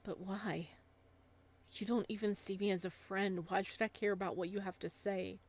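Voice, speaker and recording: Woman voicing a low-toned, guarded fisherman in his thirties. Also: fairly even intonation, moderate pace, lightly acted. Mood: hurt, guarded. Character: gruff, guarded thirty-one-year-old fisherman, softly affectionate. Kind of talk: visual novel dialogue reading.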